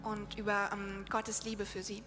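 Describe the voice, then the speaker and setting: A woman interpreting live on stage, speaking in quick restarting bursts. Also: medium pitch, flat delivery. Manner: halting, restarts mid-sentence. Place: large hall. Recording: echoey hall with music behind.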